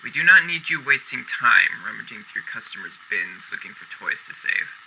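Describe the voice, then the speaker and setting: An actor playing a stern, disapproving boss in a radio drama, speaking curtly and formally. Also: male voice, medium pitch, very animated, normal speed, gravelly, bright, forceful tone, slight background noise, exaggerated voice, low-quality recording. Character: stern male boss warning an employee. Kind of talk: golden-age radio drama acting.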